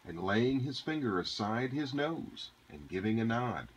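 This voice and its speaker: A man's voice: medium pitch, big pitch swings, unhurried, slight background noise. A man reading a rhyming bedtime story aloud to a child, with a sing-song, dramatic storytelling delivery. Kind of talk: expressive read-aloud of a rhyming bedtime poem.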